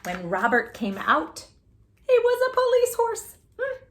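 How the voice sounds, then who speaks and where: A woman reading a children's picture book aloud as a storytime host, theatrically, with drawn-out words and little asides to the listener. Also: very high-pitched, wildly swooping pitch, a little hiss or hum.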